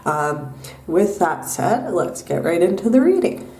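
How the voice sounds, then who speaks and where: A young woman giving a tarot reading in a soft, unhurried way with many pauses and ums, her voice nasal from a cold. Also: fairly low pitch, big pitch swings, recorded from a distance, a little hiss or hum. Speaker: tarot reader. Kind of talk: pick-a-card tarot reading. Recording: echoey room, mic set back.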